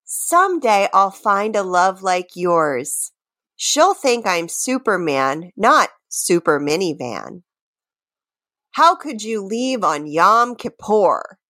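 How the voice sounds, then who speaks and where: A woman co-hosts a comedy podcast with a theatrical streak. Her intonation is very animated, yet she takes her time over silly lines and is prone to giggling. The sound is dry, with hardly any room in it. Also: medium pitch, slow, no echo. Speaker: podcast co-host reading song lyrics. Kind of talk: mock dramatic reading and casual banter.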